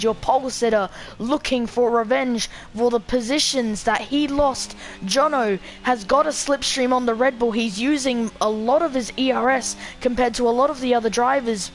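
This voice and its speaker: A woman commentating live on a sim race, her voice slightly croaky from being sick. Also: fairly high pitch.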